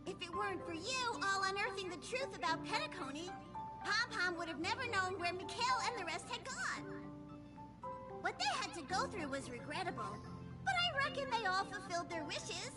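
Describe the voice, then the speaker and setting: A woman voicing a small, cute mascot creature who conducts a train, speaking formally and earnestly in a video game. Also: very high-pitched. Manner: formal, officious, speaks of self in third person. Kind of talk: video game character acting.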